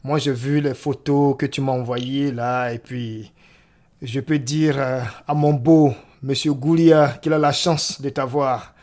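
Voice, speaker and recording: Male radio host, quietly encouraging, short affirmations, gentle questioning. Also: mid-range voice, steady tone, unhurried, a little hiss or hum. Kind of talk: radio interview.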